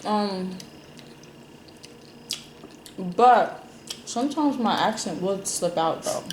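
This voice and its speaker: A chatty woman from Jamaica hosts a food video, talking at an everyday middle pitch. She rambles loosely with plenty of fillers as she tells her stories. The room sounds echoey, with faint noise in the background. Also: lively intonation, extremely slow, a little mellow. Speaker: mukbang host. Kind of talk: casual chat while eating.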